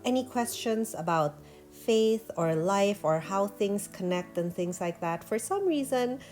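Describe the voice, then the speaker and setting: A woman's voice: mid-range voice, animated, a little slow, a little mellow, soft background music. A woman vlogger talking through a one-take voiceover in a chatty, earnest way, sounding a bit nasal from an allergy attack. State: stuffy nose from allergies. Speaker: vlogger and painter. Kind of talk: casual voiceover narration.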